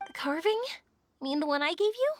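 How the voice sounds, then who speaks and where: A woman voicing a kind, spirited young woman in a video game, gentle and encouraging. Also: very high voice, animated, a little fast, slight background noise.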